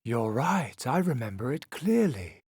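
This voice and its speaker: A man's voice: mid-range voice, sing-song, unhurried, a little mellow, husky, no echo, studio quality, voice pushed up, full character voice. A man voicing a defensive woman circus fortune teller in a storybook, with an affronted, emphatic manner. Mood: explanatory.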